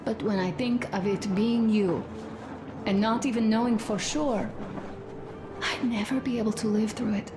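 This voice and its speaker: An actress playing a tender young soldier's wife in a video game, confiding gently and sorrowfully. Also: female voice, on the high side, fairly even intonation, moderate pace, close to an everyday voice. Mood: grieving. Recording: echoey room, noisy ambience.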